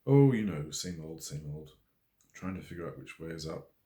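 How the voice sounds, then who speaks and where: A man's voice: extremely low pitch, lively intonation, moderate pace, dark tone, echoey room. A man voicing an earnest, mischievous woman farmer with a haughty, ranting manner in an audiobook story. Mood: wry, grumbling.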